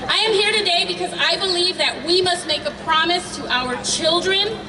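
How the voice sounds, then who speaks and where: A middle-aged woman from Honduras giving a slow, emphatic rally speech to introduce the main speaker. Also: very high voice, background noise. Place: outdoor rally before a large crowd. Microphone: PA microphone recorded from afar. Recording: distant echoey PA over loud crowd.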